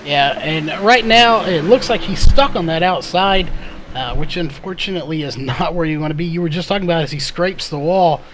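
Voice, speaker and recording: A man doing live race commentary in a conversational, analytical way, his voice a little hoarse. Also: fairly high pitch, fairly quick, fairly bright tone, slight background noise. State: slightly hoarse, losing his voice. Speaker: sim or short-track race play-by-play commentator.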